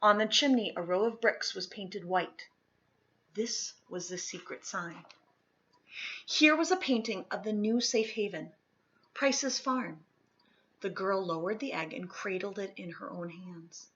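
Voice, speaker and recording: Female storyteller for children's read-aloud: bright tone, mid pitch, expressive and deliberate phrasing, somewhat lo-fi sound. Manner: expressive, deliberate phrasing with dramatic pauses and emphasis. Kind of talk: dramatic children's picture-book read-aloud.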